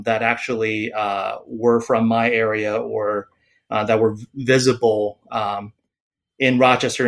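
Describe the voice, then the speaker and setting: A man in his forties from Taiwan, a psychiatrist speaking as a podcast guest, pausing often with fillers and restarts. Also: medium pitch. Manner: hesitant, many fillers and restarts. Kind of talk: podcast interview.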